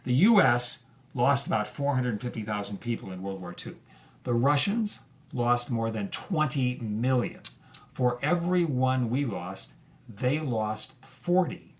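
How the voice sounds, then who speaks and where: A man hosting and narrating history in a conversational, explanatory way, leaning on key phrases with deliberate emphasis. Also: mid-range voice. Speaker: host and narrator.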